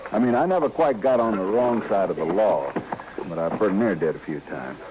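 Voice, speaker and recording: A man's voice: lowish voice, lively intonation, brisk, lightly acted. An actor playing an anxious, proud reformed gunfighter and family man in an old-time radio western, with folksy, plain-spoken cowboy speech. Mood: uneasy, confessing. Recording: muffled, noisy old radio recording.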